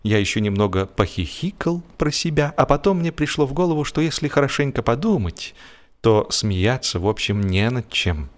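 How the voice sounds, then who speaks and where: A man reads a humorous story as an audiobook narrator, in an expressive, animated storytelling manner. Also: mid-range voice, normal speed.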